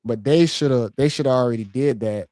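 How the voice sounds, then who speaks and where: A man's voice: medium pitch, fairly quick, mellow, no room sound. A man in a casual livestream chat who rambles with restarts and keeps agreeing with "yeah.". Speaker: co-host guest.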